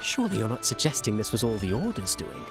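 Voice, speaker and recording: A man's voice: on the low side, big pitch swings, fast talker. A man playing a courteous, enigmatic traveling playwright in a video game, polished and theatrical, with an insinuating way of talking. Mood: suave, probing. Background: loud background music.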